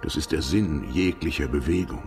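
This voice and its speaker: An actor in a German radio drama playing a world-weary, fatalistic lover who philosophizes in a brooding, deliberate manner. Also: male voice, rumbling low, music in the background. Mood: brooding, resigned.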